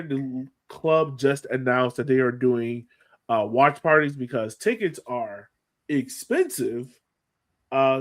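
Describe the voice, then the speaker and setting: A man hosting a sports podcast in casual chat, animated and often repeating phrases for emphasis. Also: fairly high pitch. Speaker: podcast host.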